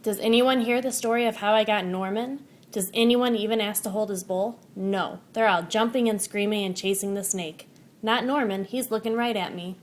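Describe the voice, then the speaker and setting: A woman narrating a children's picture book in an expressive, animated storytelling manner. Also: mid-range voice, normal speed. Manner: animated, acts out dialogue and sound words. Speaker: storybook reader.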